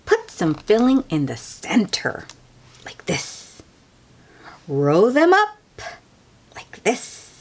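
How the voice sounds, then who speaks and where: A woman voicing a kindly, patient old grandmother in a children's storybook, gently instructive. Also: medium pitch, huge pitch swings, extremely slow, pauses often, pitched above the performer's own voice, heavily acted. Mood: patient, gentle.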